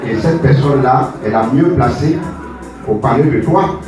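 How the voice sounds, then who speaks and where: A man answers audience questions as an author, speaking deliberately and repeating phrases for emphasis. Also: medium pitch. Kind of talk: spoken answers at a public book presentation. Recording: echoey hall, distant mic, music behind.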